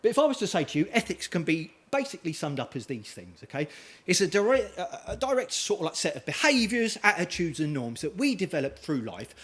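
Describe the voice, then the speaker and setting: A middle-aged man giving an energetic conference talk, firing questions at the audience. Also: on the high side, breathy edge. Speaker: conference speaker. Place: conference hall.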